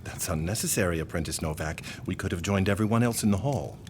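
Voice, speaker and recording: A man's voice: low-pitched, fast talker, a little mellow, slight background noise, lightly acted. An actor playing a proud, embattled institute provost in a gothic period audio drama, speaking formally and pointedly as he presses for answers. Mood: guarded, concerned. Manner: formal, clipped, probing, indignant.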